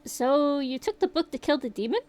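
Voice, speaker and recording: A woman voicing an investigator who asks brisk, matter-of-fact questions. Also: sits high, animated, a little mellow. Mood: questioning.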